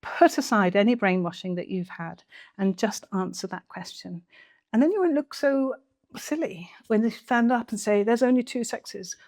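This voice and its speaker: A woman's voice: mid-range voice, normal speed, darkish tone. A woman giving conversational reaction commentary to camera in an earnest, emphatic, rhetorical way.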